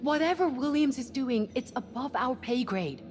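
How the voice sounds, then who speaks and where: A woman's voice: high-pitched, lively intonation, normal speed. A woman playing a cautious, level-headed ship's doctor in a sci-fi game, speaking in a wary, firm, wry way. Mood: firm refusal. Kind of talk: naturalistic dramatic game cutscene acting.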